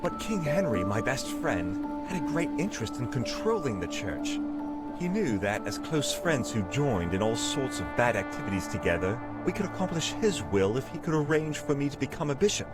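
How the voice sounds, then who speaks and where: Courtly medieval archbishop saint man acting, dryly polite, expressive pitch, kids audio drama w music. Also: lightly acted. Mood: grave, reflective. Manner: formal, measured, dryly polite. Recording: music under the voice.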